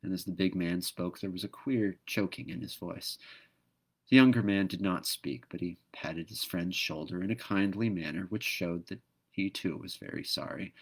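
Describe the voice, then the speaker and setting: A man reading a children's book aloud as an expressive narrator. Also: low voice, moderate pace, slightly rough. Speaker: storybook reader.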